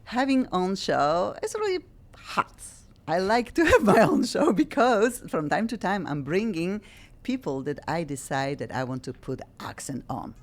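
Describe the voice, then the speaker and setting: A woman hosts a talk radio show in English, her voice sitting low. She talks in a halting way and often stops to restart or search for a word. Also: sing-song. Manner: halting non-native English with many restarts.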